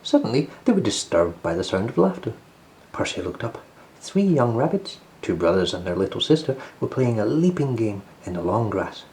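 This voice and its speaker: A man narrating a children's storybook as host, in an expressive read-aloud style. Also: fairly low pitch, normal speed.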